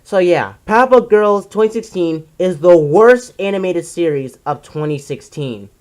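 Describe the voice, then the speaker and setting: A person giving casual, opinionated commentary as a video reviewer, in an exasperated, emphatic ranting manner with drawn-out stressed words. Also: medium pitch, animated, a little mellow. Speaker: video reviewer presenting a countdown list.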